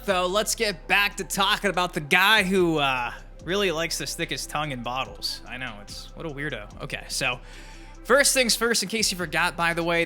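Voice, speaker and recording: A man hosts a gaming news video in a forceful, bright voice that sits a little high. His delivery is informal and chatty, and he leans on words for emphasis. Music plays softly behind him. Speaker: YouTube gaming news host. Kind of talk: casual gaming news commentary. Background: background music.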